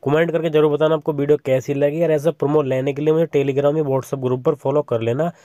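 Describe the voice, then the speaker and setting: A man's voice: a little high, brisk, no echo, studio quality. A young man, giving step-by-step instructions as a tutorial channel host over a screen recording of his phone. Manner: steady step-by-step instructions, repeats "simple" often.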